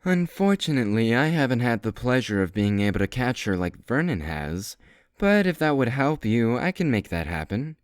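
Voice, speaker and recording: A man's voice: mid-range voice, sing-song, normal speed, no echo, clean studio sound, lightly acted. A man voicing a sly, mocking young vampire rival in an amateur roleplay. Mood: teasing.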